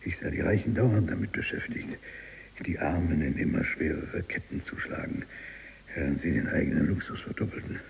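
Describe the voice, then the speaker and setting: Very deep, gravelly embittered libertine. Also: male voice, moderate pace, a little hiss or hum, exaggerated voice. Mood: bitter, confiding. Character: embittered imprisoned male libertine writer with revolutionary zeal. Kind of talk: literary radio drama monologue.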